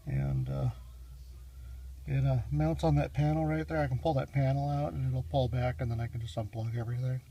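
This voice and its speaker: A man gives a casual, unscripted how-to walkthrough in a halting, rambling, matter-of-fact way, recorded on a handheld phone in a garage. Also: medium pitch, warm tone. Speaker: hobbyist car DIY demonstrator. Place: home garage, in and around a car. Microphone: handheld phone, moved around while filming. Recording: distant mic, noisy handheld phone recording.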